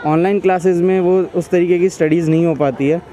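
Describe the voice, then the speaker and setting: A man's voice: high-pitched, steady tone, a little fast, dark tone, a little hiss or hum. A young man in his twenties, a student answering street interview questions outdoors in a park, earnest and hesitant, mixing English into his Hindi.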